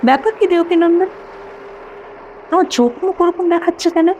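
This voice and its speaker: A woman's voice: extremely high pitch, a little mellow, dull audio, a little hiss or hum, voice pushed up. A woman voicing a kindly, hesitant male doctor who repeats words as he reassures, in a dramatic story reading. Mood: concerned, questioning. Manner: halting, repeats words when pressed.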